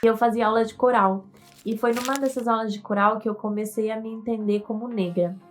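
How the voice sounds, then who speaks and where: A woman's voice: a little high, fairly even intonation, fairly quick. An eighteen-year-old woman, a university student, telling her story in a conversational way and sometimes searching for words. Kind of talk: personal testimony to camera.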